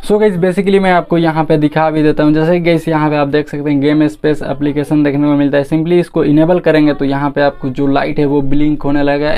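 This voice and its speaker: A young Indian man with a clear, smooth voice that sits slightly high and stays fairly level. He talks casually to viewers as a tech host walking through features, dropping in English words. The tone is soft-edged and mellow. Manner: informal, addresses viewers directly, mixes in English words. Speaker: tech reviewer and channel host. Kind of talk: casual phone feature walkthrough.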